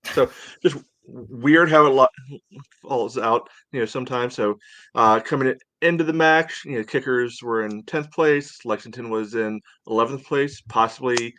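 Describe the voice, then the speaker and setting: A man's voice: mid-range voice, unhurried, a bit bright, no room sound. An American man co-hosting a sports podcast, chatting casually in a rambling, conversational way.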